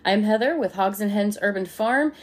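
A woman's voice: mid-range voice, moderate pace. A woman hosting a podcast, giving an upbeat, friendly welcome and introducing her guest. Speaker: podcast host. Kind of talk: scripted show intro and interview questions.

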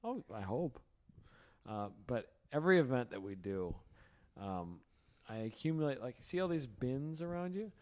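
A hoarse, tired man hosting a casual late-night chat in his home garage, joking and laughing often. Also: medium pitch, big pitch swings, moderate pace. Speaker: podcast host.

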